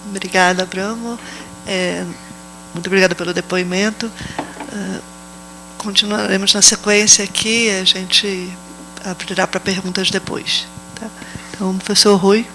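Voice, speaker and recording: A woman moderating a round table. She makes quick, brief remarks as she hands over to the next speaker. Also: mid-range voice, fairly even intonation, sharp tone, a little hiss or hum, low-quality recording.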